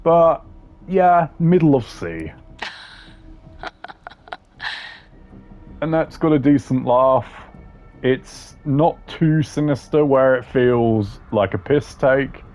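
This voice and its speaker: Slow-talking man doing wry gaming commentary. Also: medium pitch, lively intonation, darkish tone, faint music behind. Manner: wry, sardonic, sweary. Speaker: gaming video host. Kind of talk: casual tier-list commentary.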